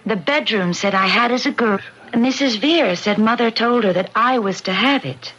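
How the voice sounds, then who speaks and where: An actress playing a composed young married daughter in an old radio drama, restrained, husky and quietly firm. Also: female voice, a little high, moderate pace, lo-fi sound. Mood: quietly defiant. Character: married grown daughter, composed, standing up to father.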